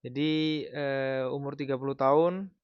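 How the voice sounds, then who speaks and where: A man in his thirties from Indonesia, giving casual advice in a vlog in a relaxed, explanatory way with frequent fillers. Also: mid-range voice, a little slow, smooth, dry recording, highs cut off. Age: 30s. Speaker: vlogger.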